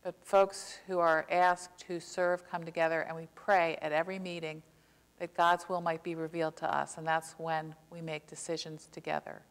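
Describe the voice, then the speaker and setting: An older woman pastor delivering an earnest, impassioned sermon from a pulpit, slowing down to stress key words. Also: sits low, level tone. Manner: earnest, impassioned preaching with slow emphatic stresses. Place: church sanctuary, behind a pulpit. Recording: long church reverb.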